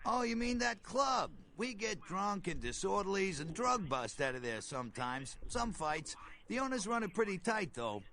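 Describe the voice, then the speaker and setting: A man's voice: high voice, very animated, moderate pace, few pauses, crowd noise. An actor playing an easygoing, slick bail bondsman in a video game, with folksy, slangy salesman patter. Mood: amused, smug. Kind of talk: video game character acting.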